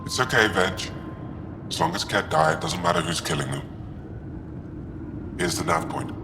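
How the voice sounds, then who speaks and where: An actor playing a grave, fanatical, fearless alien warrior leader in a sci-fi video game. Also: male voice, on the high side, very animated, normal speed, full character voice. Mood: calm, conceding. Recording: long reverb, clear background noise.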